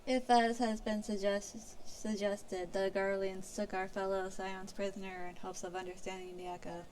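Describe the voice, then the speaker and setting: A woman's voice: medium pitch, little pitch movement, moderate pace, a little mellow, a little hiss or hum. A woman streamer reading fantasy game story dialogue aloud in a theatrical, formal delivery. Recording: music playing behind the voice.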